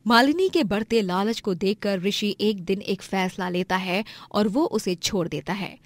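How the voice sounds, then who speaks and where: A woman's voice: medium pitch, unhurried, no room sound. A woman narrating a scripted moral story as a storyteller.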